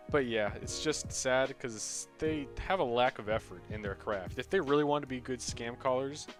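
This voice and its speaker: A young American man giving a casual, sarcastic, profane comedic rant as a video creator. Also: mid-range voice. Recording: voice over background music.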